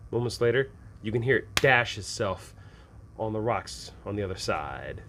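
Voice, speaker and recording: A man's voice: fairly low pitch, normal speed, noisy background. A man hosting a tabletop game advice show, talking casually and with enthusiasm, slipping into improvised scene descriptions in colloquial, folksy phrasing. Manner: enthusiastic, animated when acting out examples.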